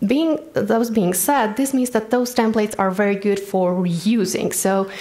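A woman's voice: medium pitch, steady tone, a little slow, dark tone. Romanian woman giving a tech talk. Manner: earnest, explanatory, frequent ums and restarts. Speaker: conference speaker. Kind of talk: technical conference talk. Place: large conference hall stage.

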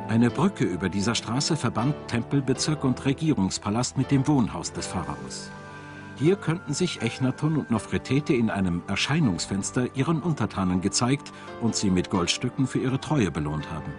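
A man reads scripted documentary narration in a measured, articulate, storytelling way. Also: mid-range voice, big pitch swings. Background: background music.

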